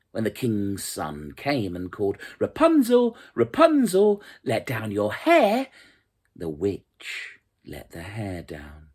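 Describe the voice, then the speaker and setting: A storyteller reading a fairy tale aloud in an expressive, dramatic and measured way. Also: low-pitched, slightly rough. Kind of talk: scripted storybook narration.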